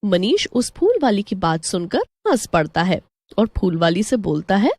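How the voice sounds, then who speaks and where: Woman storyteller, animated pitch. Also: moderate pace, no room sound. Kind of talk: scripted story narration.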